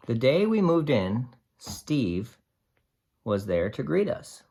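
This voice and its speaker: A picture-book reader of ambiguous gender with a mid-pitched voice and very lively intonation. The delivery is slow and deliberate, made for young listeners, with simple explanations set between the lines of the story. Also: breathy edge. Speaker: read-aloud storyteller. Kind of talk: expressive picture-book read-aloud with asides to young children.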